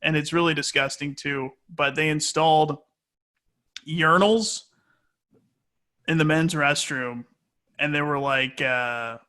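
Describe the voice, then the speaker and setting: A man's voice: on the high side, fairly even intonation, slow pace, long pauses, pushed and bright. A man speaking as an announcer being interviewed, answering casually and hesitantly as he thinks aloud. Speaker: sports play-by-play announcer, interview guest.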